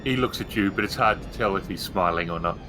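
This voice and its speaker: A man's voice: low voice, quick pace, music in the background. A man improvising scene narration as the game master of a tabletop roleplaying game.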